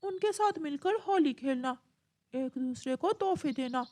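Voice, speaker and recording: A woman's voice: high-pitched, animated, a little slow, a little mellow. A woman voicing a kindly male parrot schoolteacher in a cartoon, speaking up instructively to a class. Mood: kindly, advising. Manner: instructive, projected.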